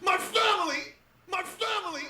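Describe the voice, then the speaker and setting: An actor playing an excitable man in a dramatic superhero cartoon. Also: very high-pitched, moderate pace, raised voice. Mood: anguished. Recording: roomy cartoon soundtrack with clear music.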